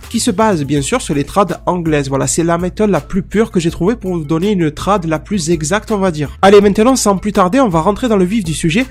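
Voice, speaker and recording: Young man, head cold, stuffy nasal voice, lively direct-to-viewer vlog chatter, faint music bed under him. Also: high-pitched. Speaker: YouTuber. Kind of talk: casual fan commentary vlog.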